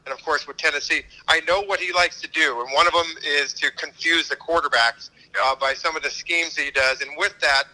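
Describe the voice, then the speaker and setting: A man with a somewhat high-pitched and strikingly bright, tense voice co-hosts a football talk. He sounds conversational and informal, like a former player speaking from experience. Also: steady tone, a little fast, few pauses, rough recording. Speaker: co-host, former pro football punter.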